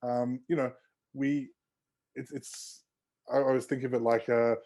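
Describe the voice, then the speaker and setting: A man with a mellow, relaxed tone and a mid-range pitch, speaking as an interview guest. He rambles in a friendly way, circling back on himself with plenty of fillers and restarts. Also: a little slow. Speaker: osteopath guest. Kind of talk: casual interview chat.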